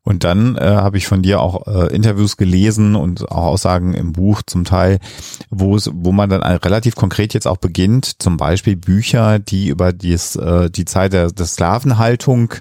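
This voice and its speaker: A man hosting a casual podcast interview, with halting, rambling phrasing. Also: sits low, mellow, no echo, clean studio sound.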